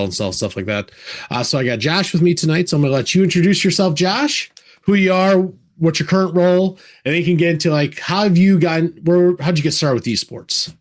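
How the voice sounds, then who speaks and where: A man hosting a podcast, easygoing and conversational as he interviews a guest. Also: medium pitch. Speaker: podcast host.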